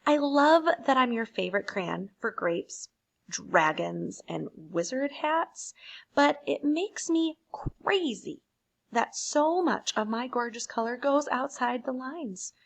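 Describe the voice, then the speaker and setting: A prim storybook crayon, played by a woman, fusses as if close to snapping. The delivery is slow and emphatic, with pitch that jumps around. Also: expressive, gravelly, heavily acted. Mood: tense, fussy. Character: neat-freak purple crayon, touchy and near breaking point.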